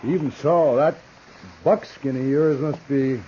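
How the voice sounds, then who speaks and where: An actor playing a cold, laconic American killer in a 1950s radio western. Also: male voice, mid-range voice, fairly even intonation, mellow, close to an everyday voice. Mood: wry. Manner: laconic, unhurried. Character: cold, sardonic murderous outlaw, grown man. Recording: muffled, noisy 1950s radio recording.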